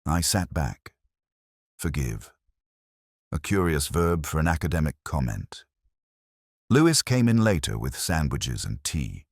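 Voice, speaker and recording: A man with an exceptionally deep voice narrates a detective story in the first person. His delivery is measured and brooding, with a literary turn and a lively, varied melody. The sound is very dry, with no room around it. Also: no echo.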